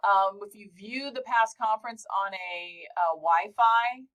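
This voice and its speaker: A woman instructor teaching a live online software class from her office over a video call, chatty and encouraging, with a loud voice. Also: mid-range voice, a little slow, highs cut off. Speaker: college instructor. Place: home office. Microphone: computer mic on a video call.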